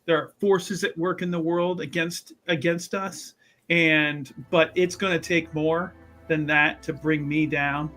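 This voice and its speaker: A man's voice: on the high side, steady tone, unhurried, sharp tone, faint music behind. A man playing a self-important, zealous scholar in a tabletop game, speaking emphatically and repeating himself as he rallies a devoted student. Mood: resolute.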